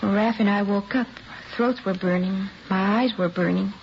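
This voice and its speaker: Actress doing weary cornered mom in old radio crime show, defensive, pleading, muffled audio w some hiss. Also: female voice, mid-range voice, steady tone, normal speed, creaky, a little mellow, close to an everyday voice. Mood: resigned, sorrowful. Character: evasive, weary young mother under police questioning. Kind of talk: 1950s radio crime drama acting. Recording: dull, noisy vintage radio recording.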